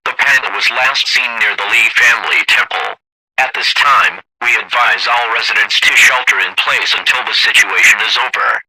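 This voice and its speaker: Harsh, very bright male emergency announcer. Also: lowish voice, normal speed, no echo, poor audio. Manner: formal, impersonal. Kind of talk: scripted emergency broadcast announcement.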